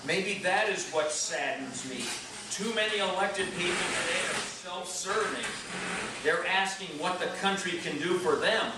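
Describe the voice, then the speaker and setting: A man's voice: high-pitched, measured pace. A man playing a principled, idealistic general-president in a readers' theater stage reading. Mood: saddened. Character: principled, idealistic George Washington, male general-president in heaven. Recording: echoey room, distant mic, noisy.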